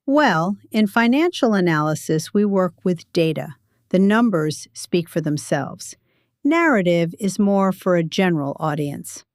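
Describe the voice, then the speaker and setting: A woman's voice: on the low side, expressive, unhurried, dry recording, studio quality. A woman narrator voicing a self-important male financial analyst who hedges while trying to sound authoritative. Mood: defensive. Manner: hedging, would-be authoritative.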